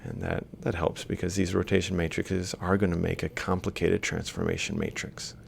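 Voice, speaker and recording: A low, heavily gravelly man talks through worked examples like a lecturer. His delivery is informal and halting, moving step by step. A faint rumbling noise sits behind the voice. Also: big pitch swings. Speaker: university robotics lecturer. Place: screen-recorded lecture voice-over.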